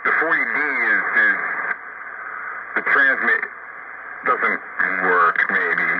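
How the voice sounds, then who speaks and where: A man's voice: lowish voice, expressive, slow pace. A man in casual radio conversation, rambling in a plain-spoken way as an amateur operator. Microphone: radio transceiver. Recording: noisy, muffled two-way radio transmission.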